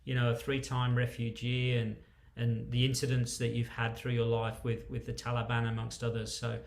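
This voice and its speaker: Male interview host, effusive and emphatic in introductions, mid-pitched, roomy echo on the recording. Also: completely flat delivery, normal speed, creaky. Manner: effusive, frequent emphatic superlatives. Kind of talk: spoken introduction of an interview guest. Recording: roomy, echoey sound.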